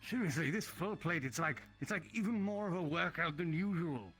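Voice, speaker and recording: A man's voice: a little high, expressive, a little fast, fairly bright tone, soft background music. A man speaking casually in character in a roleplay game, with an apologetic, hesitant manner. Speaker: roleplay player.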